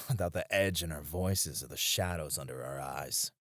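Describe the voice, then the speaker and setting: A man playing a battle-weary knight in a romantic ASMR roleplay, speaking softly and intimately with tender, wistful devotion to a comrade. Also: very deep voice, moderate pace, no echo, clean studio sound. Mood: earnest, tender. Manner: soft, intimate, hesitant with small chuckles.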